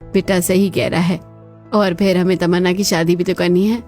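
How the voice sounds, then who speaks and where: A woman's voice: on the low side, flat delivery, moderate pace, a little mellow, faint music behind, lightly acted. A woman voicing a grateful middle-aged village mother in a cartoon story. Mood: hopeful.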